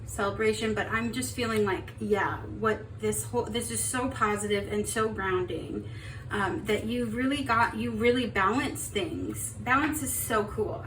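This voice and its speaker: A young woman giving a casual tarot reading, chatty and upbeat with lots of fillers, her voice slightly hoarse. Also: medium pitch, far from the mic, slight background noise. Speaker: tarot reader. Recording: roomy echo, off-mic.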